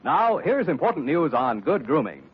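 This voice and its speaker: A man's voice: fairly high pitch, very animated, normal speed, slightly rough, soft background music. A man announcing and selling a product in emphatic, salesmanlike 1940s radio style. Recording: muffled 1940s radio recording.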